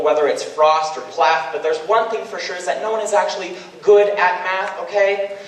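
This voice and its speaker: A young man reciting slam poetry live as a student poet, with a rhythmic, emphatic delivery. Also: sits high. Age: young adult. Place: large indoor ceremony hall. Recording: very echoey hall, distant microphone.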